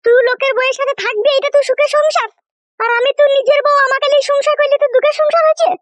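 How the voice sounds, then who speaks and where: A woman's voice: very high voice, steady tone, racing, no echo. A woman voicing an indignant, foul-mouthed wife in a comic cartoon. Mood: threatening, angry. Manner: scolding, threatening, heavy rustic swearing.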